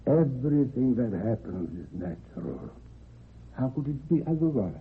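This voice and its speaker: An actor playing a calm, hypnotic male spirit from another world, in old-time radio drama style. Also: medium pitch, husky, heavily acted. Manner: slow, solemn, hypnotic, reassuring. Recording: dull lo-fi old radio recording, hiss.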